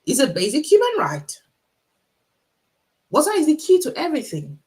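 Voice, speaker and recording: A woman's voice: sits high, wildly swooping pitch, darkish tone. A middle-aged woman with a Nigerian accent, giving an encouraging motivational talk on a livestream, repeating her key points and asking the audience rhetorical questions. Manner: speaks deliberately so listeners can follow. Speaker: author and motivational speaker.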